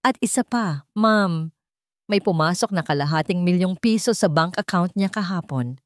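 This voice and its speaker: A woman's voice: fairly low pitch, expressive, normal speed, no room sound, clean studio sound, lightly acted. A woman voicing a grave, urgent investigator with a Filipino accent reporting to a superior in a story drama.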